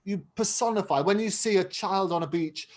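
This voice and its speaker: A man's voice: sits high, moderate pace. A man hosting a conversational interview over a video call. He sounds earnest and reflective, and he often repeats words as he starts a thought. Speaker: interview host.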